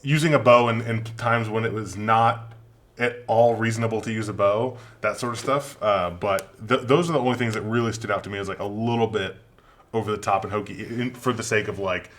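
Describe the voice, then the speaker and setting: A man talking as a panelist in a casual film review chat, in a halting, rambling way. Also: lowish voice, fairly even intonation, fairly bright tone.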